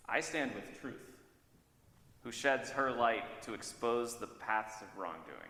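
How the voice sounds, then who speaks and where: Man reading as Justice, forceful stern brother, echoing hall. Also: medium pitch. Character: Justice, stern brother demanding accountability and action. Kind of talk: dramatized church reading. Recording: echoey hall, long reverb.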